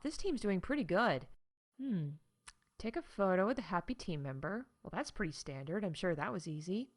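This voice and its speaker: A woman's voice: medium pitch, a bit bright. A woman playing a chatty, snarky announcer for a space theme park in a comedic audio show, reading scripted updates with sarcastic, candid asides. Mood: curious, amused. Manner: wry, sarcastic, breaking off into asides.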